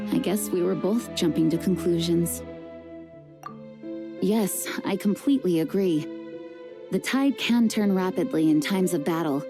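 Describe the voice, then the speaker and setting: A woman's voice: fairly low pitch, natural voice. Woman as a composed, dutiful knight commander. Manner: measured, earnest and formal. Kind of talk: video game voice acting. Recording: game music under the voice. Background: game music.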